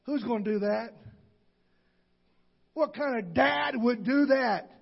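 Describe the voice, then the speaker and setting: A man preaching a sermon, shouting with call-and-response to the congregation. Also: very high-pitched, slow, vocal fry, breathy edge, highs cut off. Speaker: pastor. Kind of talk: Pentecostal sermon.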